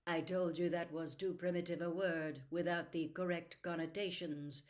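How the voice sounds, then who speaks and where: A woman's voice: on the low side, flat delivery, normal speed, natural voice. Woman as a cold, imperious male villain. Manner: formal, imperious, dismissive. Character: cold, aloof red-eyed male villain, controlling mastermind. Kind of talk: audiobook character voice.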